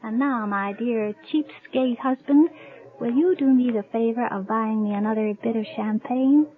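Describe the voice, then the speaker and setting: Woman acting playful young American space lieutenant, high voice, teasing, theatrical, tinny old radio sound. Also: moderate pace, close to an everyday voice. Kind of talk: 1950s radio drama acting. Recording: muffled, phone-like old radio recording.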